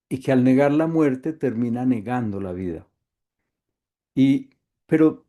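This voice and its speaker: A middle-aged Colombian man with a Colombian accent, leading an online workshop in a calm, thoughtful way with frequent hesitations. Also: medium pitch, gravelly. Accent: Colombian Spanish. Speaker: workshop facilitator. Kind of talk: reflective online workshop talk. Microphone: video call.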